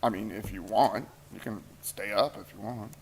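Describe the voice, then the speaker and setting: A man's voice: on the low side, steady tone, normal speed, vocal fry, darkish tone, husky, poor audio. A man doing live late-night weather coverage in a TV studio, talking casually and thinking aloud as he reads viewer comments, tired and hungry. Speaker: meteorologist. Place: TV station weather center. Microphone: shared mic.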